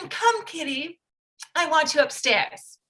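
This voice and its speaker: A woman's voice: very high-pitched, lively intonation, slow, pushed and bright, full character voice. An actress playing a fluttery, bossy middle-aged mother in a staged reading of a period drama, gushing and fussy. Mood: flustered, eager.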